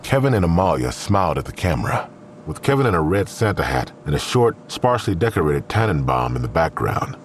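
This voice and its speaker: A man with an extremely low voice reads scripted crime narration. His pitch moves in an animated way, over faint background noise. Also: a little fast.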